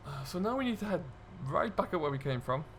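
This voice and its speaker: A man's voice: a little high, animated, quick pace, fairly bright tone, a little hiss or hum. A man giving casual, chatty commentary as host of a role-play gaming video, thinking aloud in an easygoing way.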